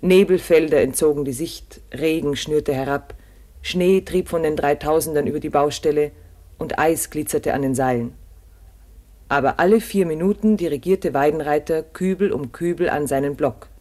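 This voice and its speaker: A man's voice: fairly high pitch, moderate pace, dark tone. A man narrating a scripted radio documentary drama in a solemn, literary manner.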